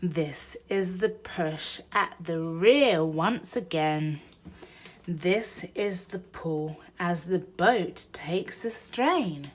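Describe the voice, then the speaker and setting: A woman presents a children's read-aloud in a sing-song, expressive voice. She takes her time over the words, and her pitch rises and dips in a lively way as she speaks to young children. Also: drawn-out words, slight background noise.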